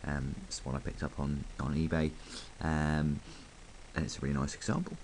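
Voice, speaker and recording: A man talks through a toy review in a very low voice, croaky and gravelly from hay fever. He rambles casually, stopping for ums and small asides as he handles the piece, with a faint hiss of noise behind him. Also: level tone, normal speed, darkish tone, poor audio. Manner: chatty, rambling, full of ums.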